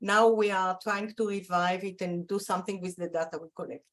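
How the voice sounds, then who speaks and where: A woman's voice: mid-range voice, steady tone. A woman with an Israeli accent, a public relations professor introducing herself over a video call, hesitant and full of fillers. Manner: slow and hesitant, with many fillers.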